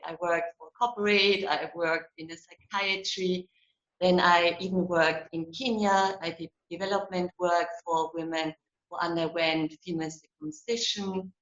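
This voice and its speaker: A woman from Germany with a German accent, a psychologist and coach, answering interview questions in an animated way with frequent laughs and repeated words. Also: on the low side. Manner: animated, laughs between phrases, repeats words as she restarts. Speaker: guest, psychologist and relationship coach. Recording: roomy, dull lo-fi sound.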